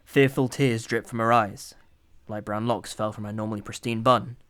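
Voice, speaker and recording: A man's voice: fairly low pitch, fairly even intonation, normal speed, fairly bright tone, clear, resonant tone. A man narrating a scripted dramatic short story in an audio drama.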